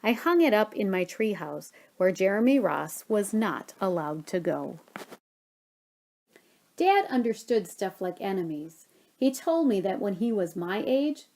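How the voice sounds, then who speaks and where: A woman reading a children's story aloud expressively, with dramatic pauses and stretched key words. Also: medium pitch, warm tone.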